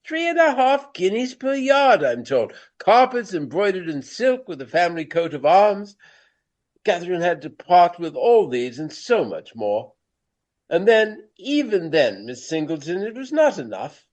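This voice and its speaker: An actor playing an aging, pompous Regency gentleman of the stage in a radio play, nostalgic and theatrical, with florid phrasing and dramatic pauses. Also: high-pitched, sing-song. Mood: mournful, dramatic.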